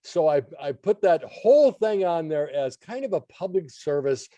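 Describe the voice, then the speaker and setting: A man's voice: fairly high pitch, very animated, normal speed, dark tone, no room sound, muffled recording. A man giving opinions as a regular guest reviewer in casual, conversational radio chat.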